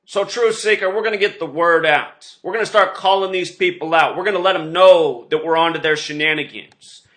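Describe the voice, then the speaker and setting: A man's voice: fairly high pitch, brisk, fairly bright tone. A man hosting an online show, speaking in a rambling, insistent monologue with emphatic delivery. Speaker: online video host.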